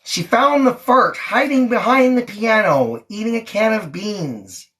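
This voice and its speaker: A woman's voice: a little high, animated, unhurried, a bit bright. A woman reading a storybook aloud to a child, acting out the lines expressively. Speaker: mother reading to her daughter on a livestream.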